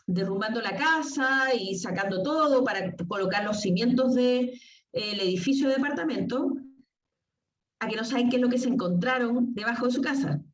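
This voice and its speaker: Woman lecturing, distant echoey mic. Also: fairly high pitch. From southern Spain. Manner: storytelling, addresses audience directly with questions. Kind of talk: academic talk with slides. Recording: echoey, distant, dull lo-fi sound.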